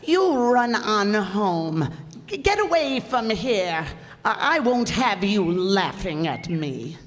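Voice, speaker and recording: A woman's voice: mid-range voice, big pitch swings, slow. A woman acting a proud, flustered 75-year-old widow in a radio play, with formal, stammering speech. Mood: indignant, hurt. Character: elderly widow, 75, indignant, wistful, proud of her girlhood. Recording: echoey hall, noisy live stage recording.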